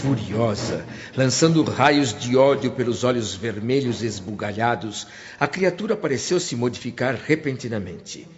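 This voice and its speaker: A man playing an attentive, wondering first-person narrator in a Brazilian radio drama, measured and expressive, with a Brazilian accent. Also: medium pitch, muffled recording, close to an everyday voice. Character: attentive, wondering male narrator recalling his spirit-world journey.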